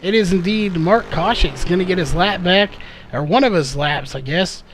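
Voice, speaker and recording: A man's voice: high-pitched, normal speed, a little hiss or hum, low-quality recording. A man doing live play-by-play race commentary, full of fillers and self-corrections, his voice a little worn. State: slightly losing his voice.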